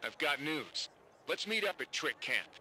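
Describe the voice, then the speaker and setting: A man playing an excitable, self-important informant over a radio in a video game. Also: fairly high pitch, unhurried, breathy edge, exaggerated voice, low-quality recording. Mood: urgent. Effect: radio filter. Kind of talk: video game cutscene acting.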